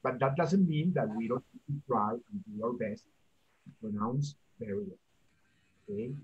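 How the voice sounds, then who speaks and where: A man's voice: medium pitch, animated, a little slow, slight background noise. A man from Colombia with a Colombian accent, teaching English on a video call and coaching students, checking in with "okay?". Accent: Colombian Spanish. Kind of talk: classroom talk on a video call. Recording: dull, lo-fi call audio.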